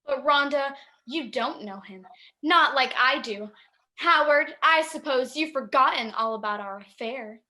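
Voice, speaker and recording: A woman's voice: sits high, measured pace, long pauses, sharp tone, reverberant, rough recording. A woman playing a jealous, menacing mistress in a parody radio soap, pointed and dramatic. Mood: jealous, threatening.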